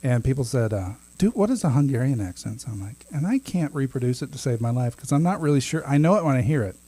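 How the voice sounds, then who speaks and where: A man's voice: medium pitch, lively intonation, quick pace, warm tone, slightly rough. A man in his thirties co-hosting a podcast, chatting casually, laughing often and restarting his sentences. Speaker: podcast co-host. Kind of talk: casual chat.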